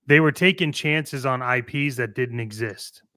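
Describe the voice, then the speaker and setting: A man's voice: medium pitch, unhurried, sharp tone, no echo, clean studio sound. A man co-hosting a hobby talk show, moving between opinionated chat and brash, playful, slangy ad reads. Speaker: co-host of a toy-collecting show.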